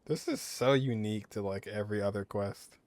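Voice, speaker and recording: A man's voice: on the low side, brisk, a little mellow, no echo. Casual, amused man commenting on gameplay. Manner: amused, offhand asides. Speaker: gaming streamer.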